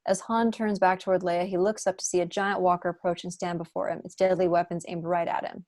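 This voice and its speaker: A woman's voice: fairly low pitch, flat delivery, fairly quick, a little mellow. A young adult woman reading scripted stage directions as narrator at an online table read. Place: room at home, video call.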